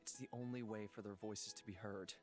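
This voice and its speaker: A man voicing a gentle, wise, centuries-old god in human form for a visual novel, calm and measured, as if counselling. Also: on the low side, lightly acted. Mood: calm, advising. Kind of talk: visual novel character reading. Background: music.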